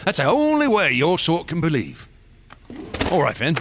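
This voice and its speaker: An actor playing an obsessed, boastful explorer in a radio horror drama, theatrical and intense as he swings between hushed awe and eager bursts. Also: fairly high pitch, huge pitch swings, moderate pace, noisy background. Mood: taunting, eager. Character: obsessed, boastful explorer man recounting a dark expedition, feverishly excitable.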